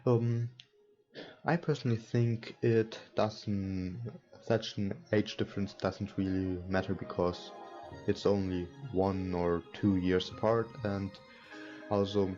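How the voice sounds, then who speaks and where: A non-native English-speaking man hosting a let's play, reading game text aloud and commenting casually in a hesitant, halting way. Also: sits low, steady tone, mellow. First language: not English. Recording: dull lo-fi mic, music behind. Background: game music.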